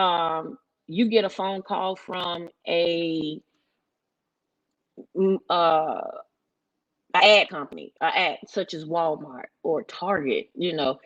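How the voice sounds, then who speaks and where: Dull, lo-fi sound carries a woman's fairly low voice. She speaks as a panelist, explaining in an informal, conversational way. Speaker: panelist explaining music rights.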